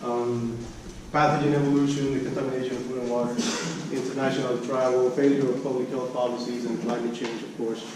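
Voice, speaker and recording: A man presenting research at an academic conference, speaking haltingly and often repeating and restarting his phrases. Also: medium pitch, level tone. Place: conference room. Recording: echoey room, distant mic, background noise.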